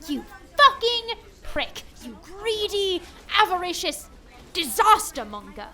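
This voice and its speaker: A high-voiced, imperious rich heiress in a sci-fi audio drama. Her diction is formal and posh, and her pitch swings widely with indignation. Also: sing-song, slow pace, bright, forceful tone, heavily acted. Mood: furious. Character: haughty, wealthy heiress, indignant over her ruin. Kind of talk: scripted audio drama acting.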